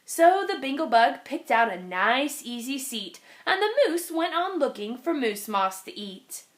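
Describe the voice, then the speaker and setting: A woman reading a rhyming children's storybook aloud in an expressive style. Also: high voice, unhurried. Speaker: storybook reader.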